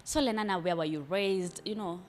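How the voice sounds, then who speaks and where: A woman's voice: lowish voice, a little fast. Playful Kenyan woman radio host. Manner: playful, laughing, mixes in Swahili words. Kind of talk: radio interview, casual chat.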